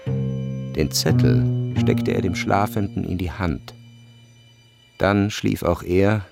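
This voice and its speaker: A man narrates a literary radio drama in a low, clearly creaky voice. His phrasing is deliberate and dramatic, and music plays beneath it. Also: lively intonation.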